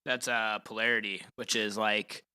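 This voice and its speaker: A man's voice: fairly low pitch, little pitch movement, normal speed. A man streaming live and chatting casually, in a laid-back, slangy way, while he plays guitar. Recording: guitar playing behind the voice. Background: acoustic guitar.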